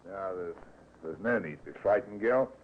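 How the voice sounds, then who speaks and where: A man acting a brisk, authoritative Victorian police inspector in a 1950s radio drama, questioning formally. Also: low voice, close to an everyday voice. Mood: patient questioning. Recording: thin, phone-like old radio recording, noisy.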